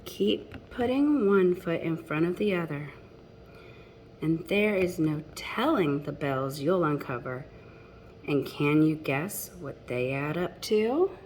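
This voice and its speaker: A woman's voice: sits low, expressive, takes it slowly, a little mellow, a little hiss or hum. A woman reading a picture book aloud to children expressively, addressing young listeners directly with chatty asides. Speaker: storybook reader.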